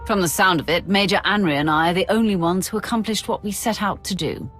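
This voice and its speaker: A commanding dark-side warrior woman from a sci-fi game, voiced by an actress. She is curt and sure of herself, with music playing behind her. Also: medium pitch, fast talker, natural voice. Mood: irritated. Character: dark-side female Sith warrior, curt and self-assured. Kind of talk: video game cutscene acting.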